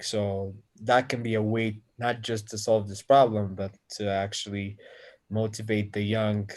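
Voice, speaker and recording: A man's voice: lowish voice, a little mellow. A man from Ethiopia, an engineer, answering interview questions with many fillers and restarts. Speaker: engineer and innovation center founder.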